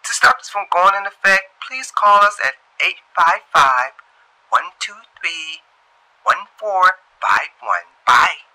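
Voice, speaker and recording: A man's voice: high voice, slow, pushed and bright, voice pushed up, full character voice, poor audio. A man voicing an officious woman debt collector reciting a formulaic phone message in a short story.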